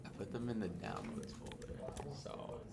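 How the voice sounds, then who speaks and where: A man's voice: on the low side, moderate pace, over loud music. A young man chatting casually as he helps out with practical instructions. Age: young adult. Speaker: workshop co-organiser. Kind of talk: casual helping chat. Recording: busy room, loud chatter. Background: loud crowd chatter.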